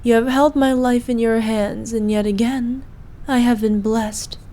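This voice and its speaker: Woman acting a slow, haughty evil queen. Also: fairly high pitch, fairly even intonation, mellow, natural voice. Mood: tender, gracious. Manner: haughty, formal, commanding. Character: evil imperious queen, cruel to subjects, doting on her knight. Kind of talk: scripted ASMR roleplay acting.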